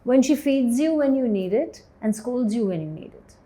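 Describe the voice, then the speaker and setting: A woman in her forties, an actor and writer, speaking candidly in an interview with a low voice, thoughtful pauses and easy laughter. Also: expressive, warm tone. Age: 40s. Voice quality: low voice, self-described as bass. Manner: thoughtful, hesitant, laughs easily.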